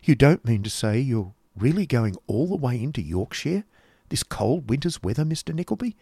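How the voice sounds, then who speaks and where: A fussy, talkative middle-aged woman painter, as a man puts her on, her voice lifted high and fluttering as she chatters away. She gushes and exclaims over every little thing. The sound is close and dry. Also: very animated, moderate pace, dark tone, husky, dry recording. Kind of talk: audiobook character voice.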